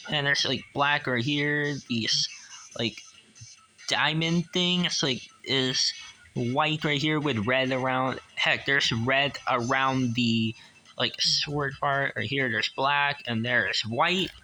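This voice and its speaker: A man giving a casual hands-on toy review as a collector, enthusiastic but halting and repetitive. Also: medium pitch, pushed and bright, rough recording.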